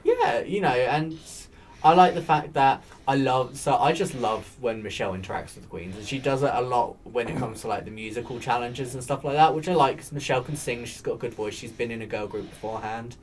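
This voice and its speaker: A man's voice: medium pitch, brisk. Chatty man hosting a podcast. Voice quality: soft, soothing voice. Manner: rambling, chatty, with many fillers. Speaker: podcast host. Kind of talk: casual chat. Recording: faint background noise.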